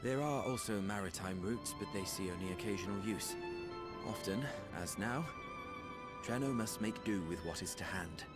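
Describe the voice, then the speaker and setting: Actor as deep-voiced earnest young scholar, music behind. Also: male voice, close to an everyday voice. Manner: measured, formal archaic phrasing. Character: thoughtful, earnest young male scholar in fantasy game. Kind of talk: scripted game cutscene acting. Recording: prominent orchestral music behind. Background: music with strings.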